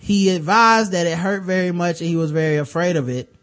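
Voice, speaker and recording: Guy w a light kinda high voice reading news stories out on a podcast, sarcastic little asides. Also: brisk, a bit bright. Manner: wry, sarcastic asides between read-out passages. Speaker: podcast host. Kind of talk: casual chat, reading news stories aloud.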